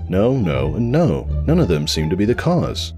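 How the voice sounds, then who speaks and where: A man narrates a comic story with a wry, theatrical delivery. His pitch is on the low side and moves very widely, with music underneath. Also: wildly swooping pitch, normal speed. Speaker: narrator, fanfiction reader. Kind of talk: dramatic scripted comic narration.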